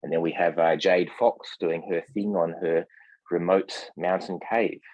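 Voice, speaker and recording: A man speaking as a guest translator in a scholarly discussion, formal in wording, with hesitant, measured phrasing full of ums. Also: low voice, fairly even intonation, no room sound, highs cut off. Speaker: literary translator, podcast guest.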